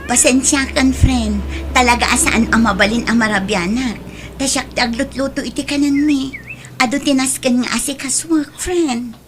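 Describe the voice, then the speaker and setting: A woman's voice: high voice, moderate pace, fairly bright tone. A Filipino woman playing a dutiful, caring young friend in a radio drama. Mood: apologetic, earnest. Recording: noticeable background noise.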